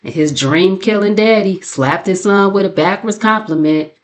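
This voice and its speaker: A woman's voice: medium pitch, normal speed. An American woman hosting a commentary channel, giving a casual gossip recap in a sassy, mocking, colloquial way. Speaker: commentary channel host and narrator.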